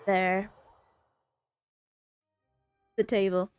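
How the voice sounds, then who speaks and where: A woman livestreaming a game, reacting casually in halting, murmured asides. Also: mid-range voice, flat delivery, a little mellow, clear, resonant tone, faint music behind. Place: indoors, streaming over game audio.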